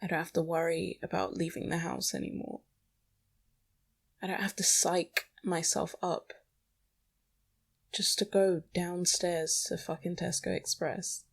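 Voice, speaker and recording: Low-voiced young woman, self-deprecating rambler. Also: little pitch movement, measured pace, vocal fry, pauses often, husky. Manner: self-deprecating, anxious, sighing. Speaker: podcast host. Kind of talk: rambling confessional solo monologue. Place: small bedroom at home. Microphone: small microphone.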